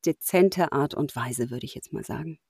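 A woman's voice: deep voice, normal speed, darkish tone, breathy edge, no room sound, studio quality. A woman who works as a professional voice-over artist, talking casually and thoughtfully with many fillers and restarts. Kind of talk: casual conversation.

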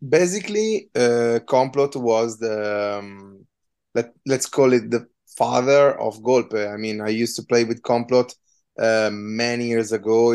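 A man's voice: mid-range voice, smooth. A man with an Italian accent, in his thirties, a punk musician answering interview questions in a hesitant, casual way with many fillers. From Italy.

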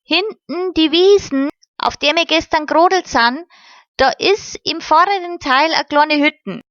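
A woman's voice: high voice, unhurried, fairly bright tone, no echo, muffled recording, pitched above the performer's own voice. A woman voicing a careful, sensible boy, earnestly explaining.

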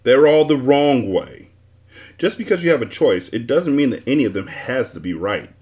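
A man voicing a pompous, excitable storybook creature with many faces, speaking in a precise, scolding, emphatic manner. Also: medium pitch, a little fast, lightly acted. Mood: indignant, furious.

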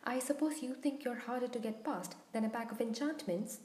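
Casting: woman narrator as strict storybook schoolmistress, brisk and commanding, mellow timbre, echoing room. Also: on the high side, lightly acted. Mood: angry. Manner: curt, authoritative. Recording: roomy, echoing sound.

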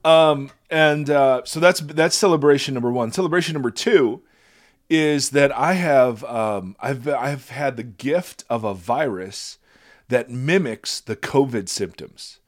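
A man hosting a podcast in casual chat, his voice raspy from a virus, rambling and self-deprecating, often laughing. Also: medium pitch, a little slow. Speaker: podcast host.

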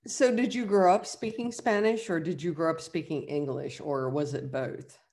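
Brief: podcast host from Cincinnati, gravelly voice, chatty and joking. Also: male voice, a little high, steady tone, moderate pace, a little mellow. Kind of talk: casual interview.

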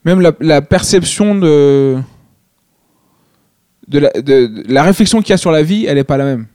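Comedian type, male, thinks aloud in halting chat; a little high-pitched, recorded dry. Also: expressive, darkish tone, no echo. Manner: hesitant, many restarts and fillers. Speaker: stand-up comedian. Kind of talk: casual conversation.

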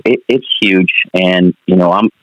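A man's voice: sits low, fairly even intonation, unhurried, dry recording, dull audio. A man in his thirties from New Mexico, a basketball coach answering interview questions earnestly, with many fillers.

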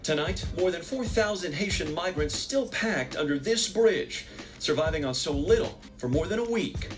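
An American man voices a scripted news report as a correspondent. His pitch runs fairly high for a man, and he speaks in emphatic, clipped phrases with music clearly behind him. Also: animated, moderate pace.

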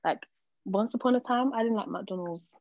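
A young woman on a podcast panel, chatting in a relaxed way, filling her speech with "like" and "um". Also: on the high side, normal speed, no echo. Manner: relaxed, filler-heavy, lots of "like" and "um". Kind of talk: casual panel chat. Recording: slightly muffled lo-fi audio.

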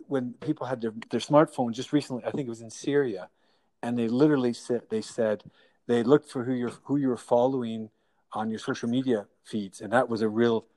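A man's voice: medium pitch, a little mellow. A man hosting a podcast interview, rambling with lots of restarts and laughing at his own jokes. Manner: rambling, self-deprecating, laughs often. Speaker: podcast host. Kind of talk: casual remote interview.

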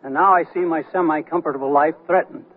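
Man acting a husky, feisty old retiree. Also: on the high side, fairly even intonation, close to an everyday voice. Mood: feisty, scolding. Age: older. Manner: deliberate, dry, storytelling. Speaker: radio actor. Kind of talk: 1970s radio comedy acting. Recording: thin narrow-band 1979 radio recording.

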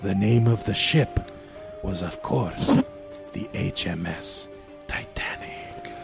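A man's voice: sits low, takes it slowly, gravelly, music in the background. Man hamming up a spooky story. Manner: hammy storyteller voice, breaks into laughs. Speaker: podcast co-host. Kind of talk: dramatic reading with joking asides.

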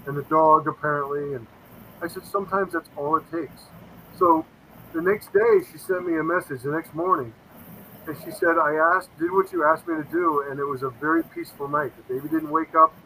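A man's voice: fairly high pitch, expressive, moderate pace, bright, forceful tone, a little hiss or hum, low-quality recording. A man in his fifties telling stories in a casual interview, earnest and rambling, often quoting himself. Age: 50s. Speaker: paranormal investigator guest.

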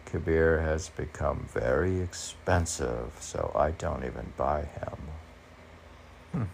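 A man with a very low, gravelly voice gives an informal poetry reading. He speaks slowly, musing aloud and questioning himself between lines. There is some noise behind him. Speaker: poet reading and commenting on own poems.

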